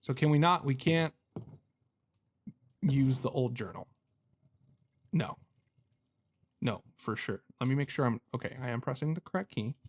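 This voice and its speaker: A man's voice: mid-range voice, a little slow, pauses often. A man giving casual let's-play commentary as a gaming host, talking to himself and poking fun at his own fumbles. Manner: self-deprecating, talks to himself while puzzling.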